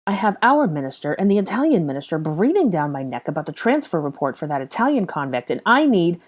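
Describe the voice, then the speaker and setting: A woman's voice: on the low side, big pitch swings, fast talker. A woman voicing a cold, sneering young male wizard official who barks with contempt. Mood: contemptuous. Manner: barking, contemptuous.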